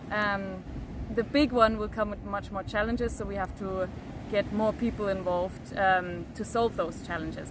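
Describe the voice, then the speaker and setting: A woman's voice: medium pitch, background noise. A young woman explaining a boat project to camera outdoors on a beach, earnest and hesitant with many ums. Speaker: project team member presenting.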